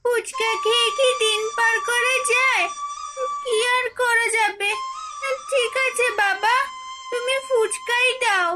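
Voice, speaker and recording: A woman's voice: very high-pitched, steady tone, slow pace, loud music behind the voice. A woman voicing a hungry, plaintive little daughter of a poor family in a cartoon, childlike and whining. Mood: tearful. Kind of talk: cartoon dubbing.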